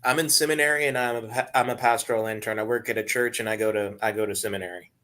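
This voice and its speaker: A man's voice: on the low side, fairly even intonation, fast talker, sharp tone. A man in his twenties talking in a casual discussion on an online call. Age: 20s. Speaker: pastoral intern and seminary student.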